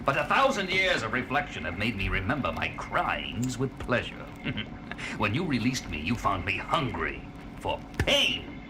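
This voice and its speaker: An actor playing an immortal alien villain, sadistic and menacing, in a theatrical audio drama style. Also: male voice, lowish voice, very animated, measured pace, noisy background. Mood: gloating menace. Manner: theatrical, gloating, laughs cruelly. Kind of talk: 1970s audio drama acting. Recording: music under voice.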